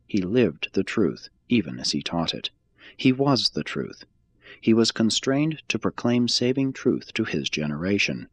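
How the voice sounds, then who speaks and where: A man reading a religious text aloud as an audiobook, in a measured, solemn and formal manner. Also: fairly low pitch, slightly rough. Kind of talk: scripted read-aloud, audiobook narration.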